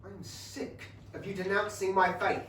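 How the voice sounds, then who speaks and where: A man acting a devout, conflicted young gentleman in a staged play reading, earnest and emotional. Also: sits high, flat delivery, unhurried. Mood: angry. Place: small theatre, recorded from a distance. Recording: roomy, distant theatre recording, faint noise.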